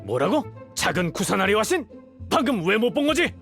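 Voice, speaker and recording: A man's voice: high-pitched, sing-song, moderate pace, a bit bright, soft background music, full character voice. A man voicing a suspicious patrolling guard in a video game. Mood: startled.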